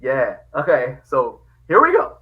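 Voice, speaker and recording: A man from Liberia, a podcast guest telling his family history in a lively, casual way, often laughing. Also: mid-range voice, a little slow, narrow, tinny audio. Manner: animated, laughs often, quotes dialogue. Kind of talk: casual storytelling.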